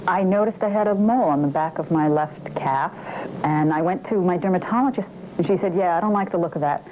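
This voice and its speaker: A woman's voice: on the low side, brisk, a little mellow, dull audio, a little hiss or hum. A woman recounting her own story conversationally in an interview.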